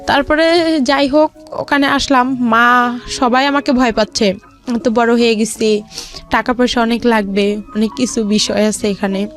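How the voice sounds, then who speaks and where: Female, Bangladeshi accent, very high voice, rambling personal storyteller, faint music underneath. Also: fairly even intonation, a little slow, fairly bright tone. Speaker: guest telling their life story.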